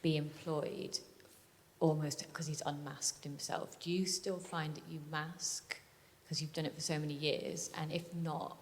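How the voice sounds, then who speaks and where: Woman, audience member, low voice, hesitant, reverberant hall, faint noise. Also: flat delivery, a little slow. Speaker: audience member asking a question. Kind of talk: audience question at a panel. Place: large conference hall.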